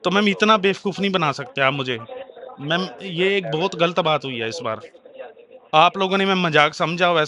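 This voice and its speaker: A man's voice: on the high side, pushed and bright. A man making a heated phone complaint, arguing insistently and repeating his demands.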